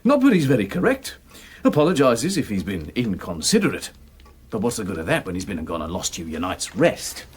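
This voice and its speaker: An actor playing a gossipy working-class manservant in a radio drama, complaining colloquially over drinks. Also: male voice, on the low side, wildly swooping pitch, fairly quick, slightly rough, full character voice. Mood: grumbling.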